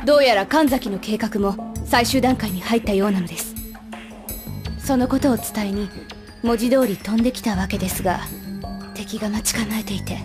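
A woman playing a serious, dutiful teenage girl agent in an anime audio drama, speaking earnestly, politely and gravely. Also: medium pitch, normal speed, over music, lightly acted.

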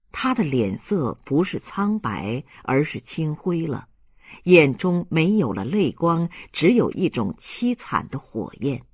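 A man's voice: on the high side, breathy edge, no echo, clean studio sound. A man narrating a literary audiobook in a dramatic storytelling style.

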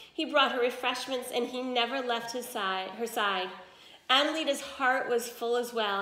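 Woman storyteller, fairly high voice, expressive read-aloud, questions to listeners, roomy echo. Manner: pauses to ask listeners questions and explain words. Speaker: storyteller reading a children's picture book aloud.

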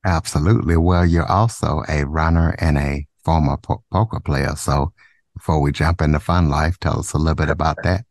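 A podcast host with a very deep voice reads his opening in a measured way. The man sounds dry and close, with no room around him. He moves on to friendly questions for his guest. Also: fairly even intonation, dry recording. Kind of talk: scripted podcast intro and interview questions.

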